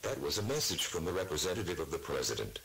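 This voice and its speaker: A man playing a brisk, formal announcer in an emergency broadcast. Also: deep voice, big pitch swings, a bit bright, full character voice.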